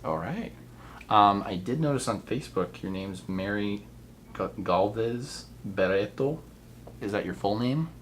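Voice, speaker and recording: A man's voice: lowish voice, animated, unhurried, a little hiss or hum. A man conducting a casual interview, asking curious short questions with frequent ums.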